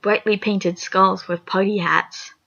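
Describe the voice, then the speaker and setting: A young woman with a raspy voice, reading a horror fan story aloud, rambling and apologetic with chatty asides. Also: medium pitch, fairly even intonation, slow, fairly bright tone. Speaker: story reader. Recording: lo-fi dull recording.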